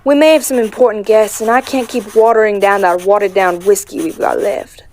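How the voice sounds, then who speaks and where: A woman voicing a poised, wry female cat who runs a speakeasy, genteel and unhurried, in a comic dub. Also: medium pitch, steady tone, darkish tone, a little hiss or hum, lightly acted. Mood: sweet, composed. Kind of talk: animated comic dub acting.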